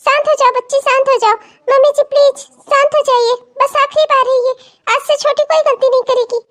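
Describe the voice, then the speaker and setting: A woman's voice: very high-pitched, fairly even intonation, fast talker, a bit bright. A woman playing a meek, peacekeeping elder daughter-in-law in a family melodrama, soothing and submissive. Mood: pleading, soothing. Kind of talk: Hindi family melodrama story acting.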